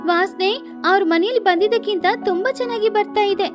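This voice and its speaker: Sparrow child played by a woman: tender, timid, pleading little girl for an animated storybook, pitched very high. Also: fairly quick. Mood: happy, loving. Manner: pleading, emotive childlike address to mother. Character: little sparrow daughter, childlike, loving, easily frightened. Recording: music behind the voice.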